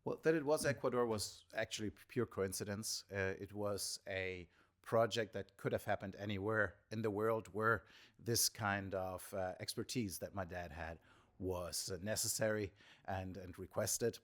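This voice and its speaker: German-born guy, lowish voice, measured answers, lots of uhs. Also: fairly bright tone. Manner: measured, with frequent "uh" fillers. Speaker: tour guide, guest. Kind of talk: interview answers. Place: indoor shop or venue.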